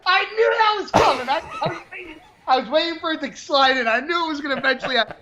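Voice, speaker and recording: A man's voice: very high voice, fast talker, a little hiss or hum. A man in his thirties from New Jersey, a professional bodybuilder chatting bluntly in an interview, swearing freely and telling stories with energy. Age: 30s. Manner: blunt, swears often, animated storytelling. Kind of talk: casual interview chat.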